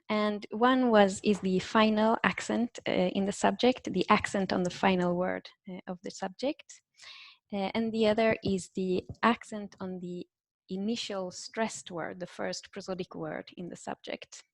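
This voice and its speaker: A woman's voice: medium pitch, no echo. Woman giving an academic talk. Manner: measured, frequent "uh" fillers, explanatory. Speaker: linguistics researcher presenting a talk.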